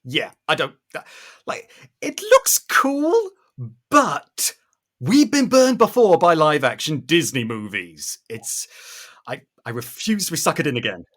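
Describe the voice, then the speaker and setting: A man's voice: fairly high pitch, sing-song, measured pace, fairly bright tone. A man hosting a casual pop culture chat, joking and opinionated in a nitpicky way. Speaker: podcast host.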